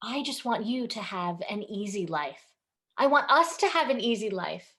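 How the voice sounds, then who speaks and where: A woman's voice: medium pitch, animated, sharp tone. A woman playing a stern, traditional middle-aged Indian mother in a staged reading, speaking firmly and instructively. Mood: stern, disapproving. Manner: firm, halting, instructive. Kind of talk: staged reading over video call.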